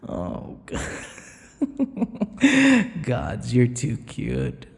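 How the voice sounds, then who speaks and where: A man playing a possessive, teasing vampire lover in an ASMR audio roleplay, smug and menacing, murmuring and slipping into breathy whispers. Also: dark tone, in a large hall, heavily acted. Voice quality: low, gravelly. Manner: slow, breathy murmurs dropping into whispers, mocking endearments.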